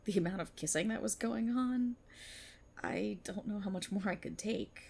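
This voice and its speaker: A woman's voice: medium pitch, moderate pace, creaky, a little mellow, husky. A woman playing an exasperated, self-aware policewoman in an audio roleplay, in a sarcastic, indignant and theatrical manner. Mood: relieved, concerned. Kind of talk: ASMR audio roleplay monologue, dramatic acting.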